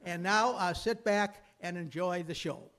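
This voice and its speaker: A man's voice: high-pitched, a little slow, noisy background. A man giving an informal, hesitant, lightly humorous welcome as host of a live radio play. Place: live before an audience.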